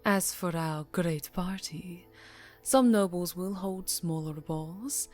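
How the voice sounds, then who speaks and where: Slow, low-voiced vampire countess played by a woman. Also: soft background music. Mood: indulgent, wistful. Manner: formal, soft, breathy, drifting into whispers. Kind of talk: ASMR roleplay, intimate scripted monologue. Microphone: close microphone.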